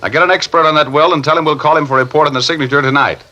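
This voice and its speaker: An American actor playing a wry, confident private detective in an old-time radio drama, brisk and commanding. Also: male voice, fairly high pitch, steady tone, pushed and bright, muffled recording.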